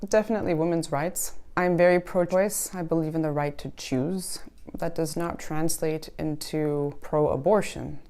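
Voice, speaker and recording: A woman in her thirties with a low, steady voice, speaking in measured, reflective sentences. German was her first language, and a slight German cadence still colors her speech. She grew up in California and talks earnestly about her family. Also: smooth. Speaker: interviewee. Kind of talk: personal testimony to camera.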